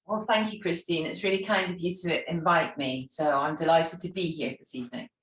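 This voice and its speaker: A middle-aged or older woman from Scotland with a soft Scottish accent, giving a polite, reflective personal account as an interview guest. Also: low-pitched, steady tone, brisk, slight background noise. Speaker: interview guest, travel industry executive. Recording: roomy, distant microphone.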